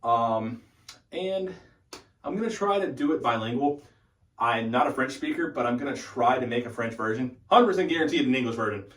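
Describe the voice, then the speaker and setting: A man's voice: medium pitch, expressive, normal speed, a bit bright. Young man in an echoey room. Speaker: host of a hockey fan channel. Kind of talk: casual update vlog. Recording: echoey room, distant from the mic.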